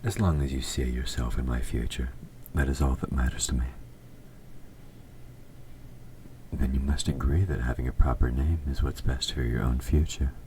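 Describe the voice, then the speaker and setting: A man playing an evil, possessive king in an audio roleplay, speaking formally and with measured, quiet menace, his S sounds sharp. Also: extremely low pitch, steady tone, a little hiss or hum. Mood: possessive, persuasive. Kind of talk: scripted audio roleplay, intimate dramatic monologue.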